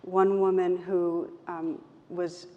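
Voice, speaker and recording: A woman with a soft voice speaking gently and reflectively as a meditation teacher, in measured phrases with pauses. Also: on the low side, flat delivery. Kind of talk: reflective talk in an on-stage conversation. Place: event stage in a hall. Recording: roomy hall, muffled, background noise.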